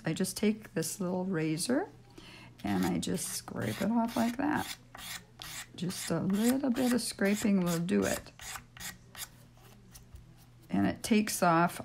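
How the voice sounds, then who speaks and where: An older woman with a raspy, gravelly voice walks through a clay project in a relaxed, rambling way, pausing with ums as she works. Her voice sits fairly low, and a faint hiss runs under the fixed camera mic in her home craft room. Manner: chatty, thinking aloud with many ums while working. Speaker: polymer clay tutorial host. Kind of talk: casual craft demonstration. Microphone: stationary camera mic.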